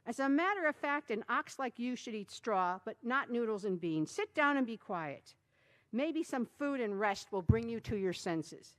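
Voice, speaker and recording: Storybook casting: a shrill, scolding village wife, loud and lively, put on by a woman reader. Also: normal speed, close to an everyday voice.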